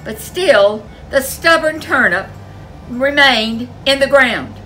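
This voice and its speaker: Animated, unhurried woman storyteller. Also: high voice, a little hiss or hum, poor audio. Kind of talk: animated folk tale narration for children.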